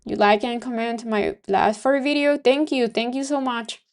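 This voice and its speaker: A woman's voice: a little high, moderate pace, clear, resonant tone. A woman from Venezuela with a Venezuelan Spanish accent, hosting a live trading stream in casual, think-aloud commentary full of ums. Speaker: livestream trading host.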